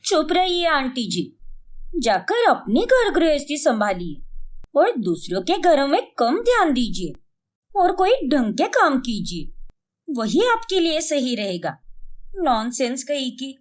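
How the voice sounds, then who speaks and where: A woman voicing a sharp-tongued, indignant young daughter-in-law in a dramatic family story. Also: high voice, big pitch swings, slow pace, exaggerated voice. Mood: indignant scolding. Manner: scolding, self-doubting, dramatic.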